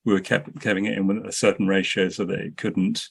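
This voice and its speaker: A man's voice: lowish voice, level tone, a little fast, vocal fry, slightly rough. A man telling anecdotes as a filmmaker guest in a podcast interview, often restarting his sentences.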